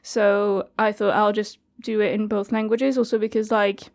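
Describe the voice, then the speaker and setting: A woman's voice: mid-range voice, level tone, moderate pace, gravelly, darkish tone. A French woman in her twenties, chatting casually as an interview guest, with frequent laughs and fillers. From France. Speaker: guest, youth radio studio coordinator.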